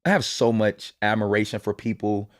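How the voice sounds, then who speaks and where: A man's voice: lowish voice, moderate pace, dry recording, studio quality. A young man from Indiana, a guest artist talking casually and easygoing, with lots of "you know" and "like". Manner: relaxed, many fillers and restarts. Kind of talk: casual interview chat.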